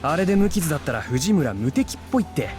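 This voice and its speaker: Anime game schoolboy, slightly high voice, rough and offhand, quick, over prominent music. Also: male voice, sing-song. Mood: worried, bantering. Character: blunt, casual male high school classmate. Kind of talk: Japanese anime visual novel acting.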